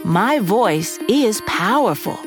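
A woman hosting a podcast, gently guiding breathing and affirmations with slow, encouraging calm. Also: medium pitch. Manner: slow, soothing, encouraging guidance. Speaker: podcast host. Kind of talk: guided breathing and affirmations for kids. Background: music.

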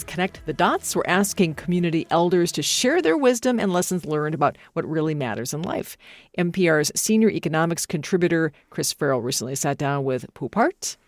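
An American woman hosting a radio series, moving between scripted introduction and conversational questions with an emphatic, expressive delivery. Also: sits low, no echo.

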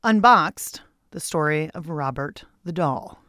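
A woman's voice: low-pitched, lively intonation, slow, fairly bright tone, dry recording, clean studio sound. A woman hosting a radio show, reading chatty scripted narration with a playful, cheeky manner. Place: radio studio.

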